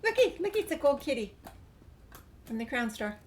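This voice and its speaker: A woman's voice: high-pitched, sing-song, moderate pace, a little hiss or hum. A woman giving casual video game commentary, chatty and musing to herself as she plays. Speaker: gamer, channel host. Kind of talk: casual let's play commentary.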